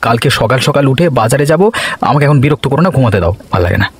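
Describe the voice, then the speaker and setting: A man voicing a grumbling, lazy village husband in a cartoon, complaining and exclaiming, with music clearly behind him. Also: mid-range voice, lively intonation, racing. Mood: annoyed. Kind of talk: cartoon voice acting.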